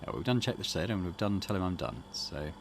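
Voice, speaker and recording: Casting: male gaming host, lowish voice, casual and rambling commentary, faint background noise. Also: big pitch swings, a little fast, gravelly. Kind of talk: casual playthrough commentary, reading options aloud.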